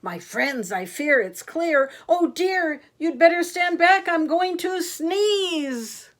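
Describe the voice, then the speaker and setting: A woman reading a courteous, apologetic storybook elephant, slow and drawn-out, with a high, soft voice that climbs as the warning grows. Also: animated, exaggerated voice. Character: polite, apologetic giant elephant about to sneeze.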